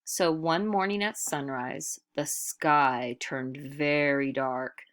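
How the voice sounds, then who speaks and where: Low-voiced woman reading a storybook aloud. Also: steady tone, slow pace. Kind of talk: expressive picture-book read-aloud narration.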